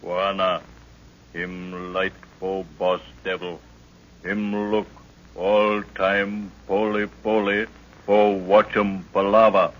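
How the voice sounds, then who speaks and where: A huge Maasai warrior prince speaks in a low voice and very slowly, in halting, solemn pidgin. He is loyal and superstitious, a figure from an old radio adventure serial with muffled sound. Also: little pitch movement, slight background noise. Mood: solemn foreboding. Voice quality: halting pidgin speech with broken grammar. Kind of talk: 1940s radio serial acting.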